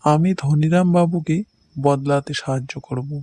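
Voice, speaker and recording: A man's voice: on the high side, steady tone, unhurried, dark tone, dry recording, natural voice. A man playing an honest, kind village hunter who has reformed, speaking earnestly in a cartoon fairy tale. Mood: pleading, earnest.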